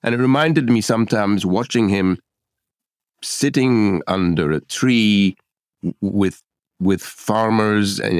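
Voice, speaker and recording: Deep-voiced male interviewer. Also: no echo, studio quality. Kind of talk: interview questions.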